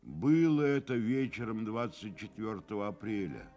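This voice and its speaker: An actor playing the grave, dramatic narrator of a village tale in a Soviet radio drama. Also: male voice, on the low side, big pitch swings, moderate pace, dark tone. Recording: archival Soviet radio recording with music.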